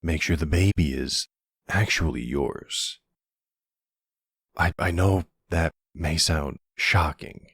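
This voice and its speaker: A man playing an older, calm and commanding mafia boss with a low husky voice, speaking deliberately in an intimate roleplay audio drama. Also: dry recording, studio quality, full character voice. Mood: grave, measured. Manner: measured, deliberate, authoritative. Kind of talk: ASMR boyfriend roleplay acting.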